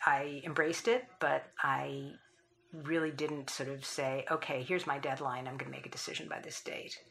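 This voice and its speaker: A woman's voice: very deep voice, level tone, normal speed, vocal fry, fairly bright tone, slight background noise. An older woman, a philanthropist guest in an interview, speaking in an articulate, thoughtful way and often restarting her phrases. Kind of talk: conversational interview.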